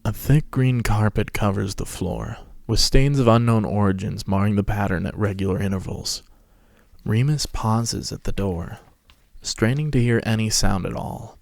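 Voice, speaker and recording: A man's voice: lowish voice, moderate pace, creaky, a little mellow, dry recording. A man narrating a scripted story as a podcast host, reading it dramatically.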